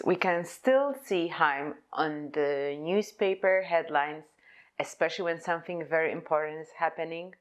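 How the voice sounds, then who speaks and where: A young woman speaks English, her voice sitting a little low. She delivers a prepared conference presentation about her own type design, measured and deliberate, as if reading from notes. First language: Polish.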